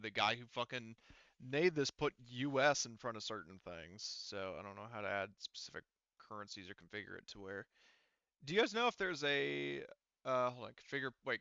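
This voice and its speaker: A man with a clear, forward voice and some gravel talks informally as a game master. He thinks aloud and swears casually while working through settings. The recording is dry and slightly dull, like band-limited voice chat. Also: mid-range voice, bright, forceful tone, no echo. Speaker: game master of an online tabletop roleplaying game. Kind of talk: casual group chat while troubleshooting software.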